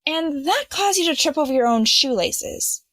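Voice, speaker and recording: Woman voicing snooty young female student, high voice, lively inflection, sardonic, scolding, audio drama. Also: moderate pace, dry recording, clean studio sound. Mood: exasperated, scolding. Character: haughty, dry-witted rich young female student.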